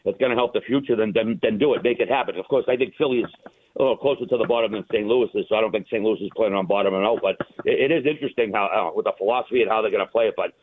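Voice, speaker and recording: A man's voice: on the low side, racing, breathy edge, no echo. A man hosting casual sports talk about hockey, rambling informally, heard over a tinny, narrow phone-like line.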